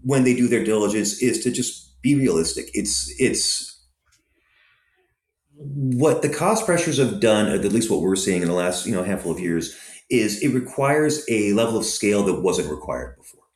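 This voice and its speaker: A man's voice: fairly low pitch, moderate pace, echoey room. A man from Southern California, a restaurant operator and investor, talking through anecdotes in an interview with lots of fillers. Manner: anecdotal, many fillers, lively storytelling. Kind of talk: conversational interview answers.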